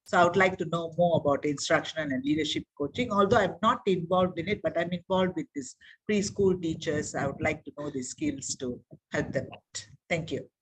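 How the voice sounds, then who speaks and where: Brief: woman from Malaysia, teacher trainer, husky, halting, video call. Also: fairly low pitch, expressive, rough recording. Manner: hesitant, many fillers. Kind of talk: online meeting self-introduction.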